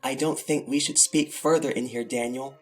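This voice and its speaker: A man voicing a formal, gentle female police officer with soft concern, in a horror audio drama. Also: mid-range voice, steady tone, normal speed, soft background music, voice pushed up, close to an everyday voice.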